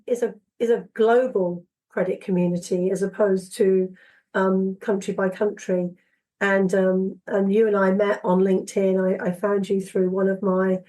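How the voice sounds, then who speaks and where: A woman with a cold-roughened voice, hosting a casual podcast interview and pausing often with ums and restarts. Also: mid-range voice, darkish tone, rough recording. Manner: halting, many ums and restarts. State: hoarse from a cold. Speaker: podcast host.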